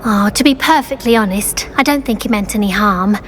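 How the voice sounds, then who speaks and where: A woman's voice: medium pitch, brisk, lightly acted. A woman playing a studious, earnest teenage witch in a video game, courteous and well-spoken with a British accent. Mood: earnest, polite. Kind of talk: video game cutscene acting. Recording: faint background ambient noise.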